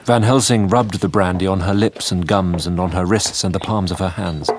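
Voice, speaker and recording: A man's voice: low-pitched, steady tone, moderate pace, noisy background, natural voice. An actor playing an earnest, troubled Victorian doctor narrating his diary in a sombre, formal way. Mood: grave. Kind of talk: scripted diary narration in radio drama.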